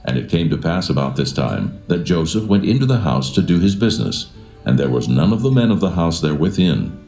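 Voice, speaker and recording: Extremely deep, gravelly male scripture narrator. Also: big pitch swings, brisk, dark tone, reverberant, faint music behind.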